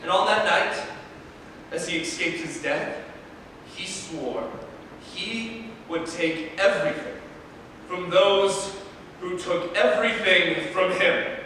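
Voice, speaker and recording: A man playing a solemn fairy-tale narrator in a staged reading, with dramatic, measured delivery. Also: sits high, pushed and bright, full character voice. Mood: ominous. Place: large reverberant room at a live staged reading. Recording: distant echoey hall, faint noise.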